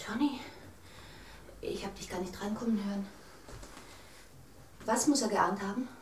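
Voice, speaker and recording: Schauspielerin als vertrauensvolle, besorgte junge Ehefrau, halliger Raum. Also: female voice, medium pitch, unhurried, close to an everyday voice. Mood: startled, anxious. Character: trusting, anxious young married heiress in grief. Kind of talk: radio drama acting. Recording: roomy echo, faint hiss.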